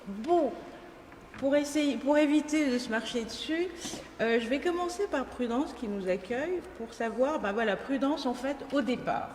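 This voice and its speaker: Woman moderator, higher voice, guiding an onstage interview, echoey hall. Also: normal speed. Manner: guiding, lively, rephrases her questions. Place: large event hall. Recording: echoey hall, faint room noise.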